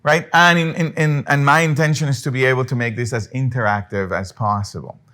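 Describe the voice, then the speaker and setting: A man's voice: mid-range voice, fairly even intonation, brisk, bright, forceful tone. A middle-aged man from Colombia giving an earnest business talk to an audience, often restarting his phrases. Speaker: business speaker. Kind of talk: presentation to an audience.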